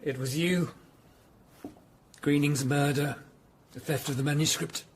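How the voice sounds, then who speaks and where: An actor playing a principled Tudor lawyer-detective in a radio drama, speaking with indignant, accusing defiance. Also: male voice, fairly high pitch, steady tone, measured pace, close to an everyday voice.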